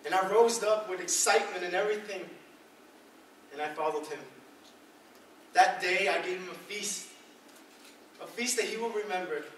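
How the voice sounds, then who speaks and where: A man playing an excitable former tax collector turned disciple, delivering an emphatic stage monologue. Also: sits high, normal speed, pauses often. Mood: excited. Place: reverberant indoor hall, recorded from afar. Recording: echoey hall, distant mic, faint noise.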